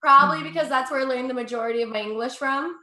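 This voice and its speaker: A woman's voice: sits high, quick pace, bright, forceful tone, roomy sound. A young woman from Russia with an American accent doing a chatty, profane stand-up set, laughing at her own jokes. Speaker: stand-up comedian.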